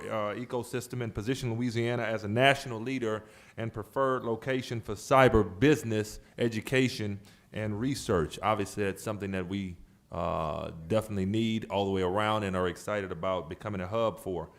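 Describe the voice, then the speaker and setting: A man with a fairly low voice chairs a public board meeting. He moves through remarks and procedure in a halting manner, often restarting a phrase, keeping it official but informal.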